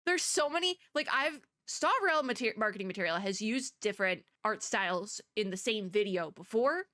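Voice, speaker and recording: A woman giving casual, excited reaction commentary in a chatty, rambling and expressive way. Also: mid-range voice, moderate pace, no echo, clean studio sound. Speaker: reaction video host.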